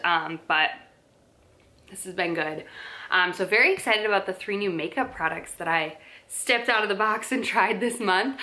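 Bright-voiced chatty young woman vlogger. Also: mid-range voice. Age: young adult. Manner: chatty, many filler likes and ums. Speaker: lifestyle vlogger. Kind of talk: casual favorites review to camera. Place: bedroom with dresser and plant, indoors.

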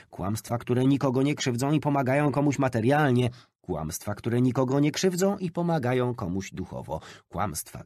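A man reading a nonfiction audiobook as an expressive scripted narration. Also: on the low side, dry recording, clean studio sound.

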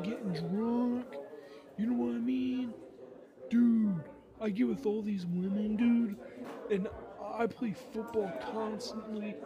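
A man playing a cocky, mocking high school jock bully in a taunting, slangy roleplay monologue. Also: poor audio. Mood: boastful, mocking. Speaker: ASMR roleplay performer. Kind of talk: improvised ASMR roleplay monologue.

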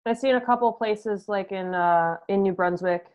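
A young Canadian woman chatting casually as an interviewed musician, halting and peppering her talk with "like", on a video call. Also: mid-range voice, a little mellow. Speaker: band musician being interviewed. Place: room at home. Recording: dull, lo-fi video call audio.

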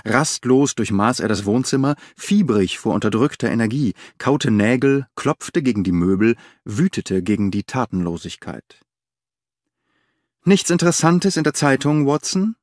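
A man narrating an audiobook in a measured, expressive storytelling manner. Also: lowish voice, dry recording, clean studio sound. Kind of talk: scripted literary narration.

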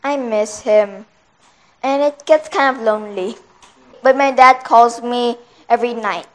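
A woman's voice: a little high, unhurried, a bit bright, low-quality recording. A young girl giving brief, simple answers in an interview, with a lapel mic in a small room. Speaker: interview guest.

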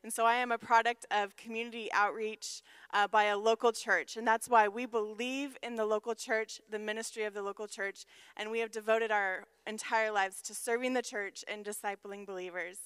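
A woman's voice: mid-range voice, fairly even intonation, moderate pace, a bit bright, clean tone, dry recording. A woman in her thirties from Washington State, a missionary telling her story to a church congregation in an earnest way. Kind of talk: church testimony. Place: large church stage.

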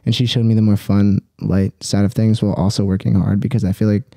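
A young adult man telling personal stories casually as a podcast guest, halting and rambling, with frequent swearing. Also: lowish voice, little pitch movement, vocal fry, warm tone, no echo, studio quality.

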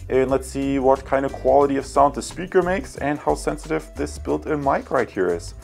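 A man's voice: medium pitch, animated, normal speed, gravelly, darkish tone, soft background music. A man casually reviewing and unboxing a gadget indoors, chatty and full of fillers. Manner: chatty, addresses viewers as guys, many fillers. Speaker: tech reviewer. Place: indoor room with wooden table.

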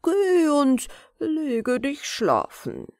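A woman voicing a gentle, loyal magical horse in a fairy-tale audiobook, with drawn-out, soothing speech. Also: sits high, huge pitch swings, vocal fry, no echo, clean studio sound, voice pushed up, exaggerated voice. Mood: calm, reassuring.

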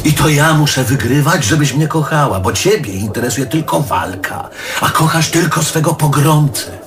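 Stage drama: male actor as needy, bitter man in middle age, emphatic and self-correcting, very animated delivery. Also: a little high, moderate pace, music in the background, natural voice. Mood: bitter, accusing. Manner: emphatic, self-correcting, swallows curses mid-word. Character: bitter, needy, aggrieved middle-aged male lover, ranting. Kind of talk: stage play monologue.

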